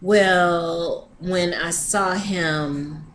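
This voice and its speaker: A woman's voice: on the low side, fairly even intonation, extremely slow, a bit bright, a little hiss or hum. Hesitant woman in her thirties. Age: 30s. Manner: hesitant, candid, restarts sentences. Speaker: vlogger. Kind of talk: personal video diary.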